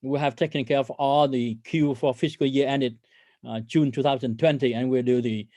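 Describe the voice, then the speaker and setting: A man with a mid-pitched voice addresses investors as a company executive. His delivery is halting and hesitant, often stumbling as he goes. The sound is dry, with hardly any room echo. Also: steady tone, dark tone. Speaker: company CEO hosting an investor conference call. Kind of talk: corporate earnings call presentation.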